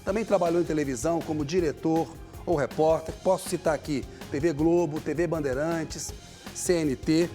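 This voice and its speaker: Brazilian male TV host, friendly interviewer, slightly high and mellow voice. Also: fairly even intonation, normal speed, faint music behind. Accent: Brazilian Portuguese. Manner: friendly, prompting questions.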